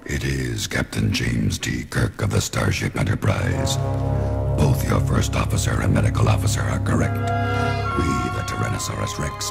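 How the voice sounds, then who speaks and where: A man voicing a giant, dignified telepathic alien dinosaur leader, speaking slowly and formally in a 1970s audio drama. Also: rumbling low, exaggerated voice. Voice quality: gravelly. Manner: slow, formal, portentous. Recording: loud music under the voice.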